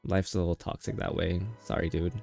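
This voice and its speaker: Male streamer, lowish voice, tired, casual, rambling chat with playful teasing, game music clearly behind. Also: flat delivery, fairly quick, darkish tone.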